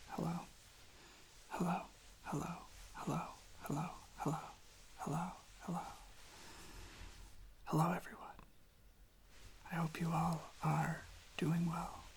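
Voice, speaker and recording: An ASMR creator speaking slowly in a soft, hushed whisper close to the microphone, gently repeating phrases. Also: medium pitch, creaky, slight background noise. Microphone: close microphone propped on a desk.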